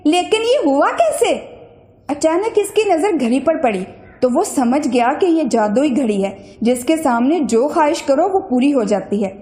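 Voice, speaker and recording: A woman tells a children's moral story from a script. Her voice is notably high. The recording carries a clear room echo. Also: animated, normal speed, mellow. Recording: roomy echo.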